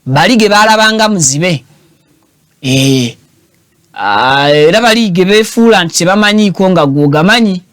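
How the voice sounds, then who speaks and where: A Ugandan man with a high, bright-pitched voice delivers teasing, animated political commentary as a show host. He switches languages mid-sentence. The sound is dry and close. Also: moderate pace, no echo.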